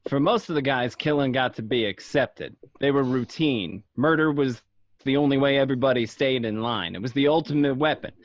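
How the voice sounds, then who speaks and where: Mobster narrator for radio drama, played by a man: blunt, matter-of-fact, mid-pitched, dry and slightly muffled home recording. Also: a little fast, no echo, lightly acted. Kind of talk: scripted first-person narration in an audio drama.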